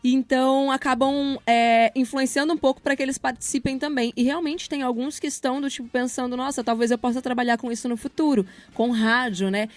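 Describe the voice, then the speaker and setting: A woman's voice: high voice, steady tone, brisk, hardly any pauses, dry recording. A Brazilian woman giving casual interview answers, explaining things in an informal, slangy way as a project leader and teacher.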